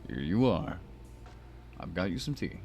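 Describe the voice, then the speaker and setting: A man voicing a kindly, wistful older schoolteacher, deep and wordy, in a visual novel, with music behind. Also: big pitch swings, measured pace, gravelly, a little mellow, full character voice. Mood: fatherly concern. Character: kindly, bemused older male former teacher, wistful widower.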